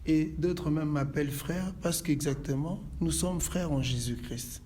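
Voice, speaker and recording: A man from Congo who leads a church, answering calmly and praying in a measured, preacherly way. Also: a little high, slight background noise. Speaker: pastor. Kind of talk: calm interview answers and prayer. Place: inside a church.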